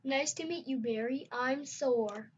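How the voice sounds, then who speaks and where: An earnest young male skywing dragon, voiced by a woman in a read-aloud storybook, polite and a touch awkward. The voice is high and a little breathy. The recording is muffled and lo-fi. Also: unhurried. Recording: dull lo-fi recording.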